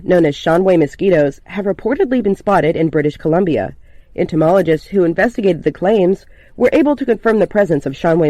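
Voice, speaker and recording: A man's voice: fairly high pitch, warm tone, no room sound, natural voice. A man playing a composed local radio news anchor, announcing a scripted bulletin in a formal, orderly way.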